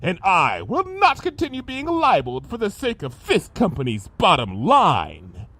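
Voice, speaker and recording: High-pitched, pompous monster villain. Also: male voice, huge pitch swings, a little slow, a bit bright, exaggerated voice. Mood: smug, indignant. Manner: pompous, florid, melodramatic diction. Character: theatrical vengeful male monster villain, grandiose and menacing. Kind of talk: dramatized fan fiction audio reading.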